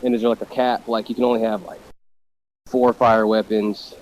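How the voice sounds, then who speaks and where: A man's voice: fairly low pitch, little pitch movement, normal speed, darkish tone. A man from Texas bantering on a casual podcast, challenging and sarcastic in manner. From Dallas, Texas, US. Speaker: podcast panelist. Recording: dull lo-fi voice chat.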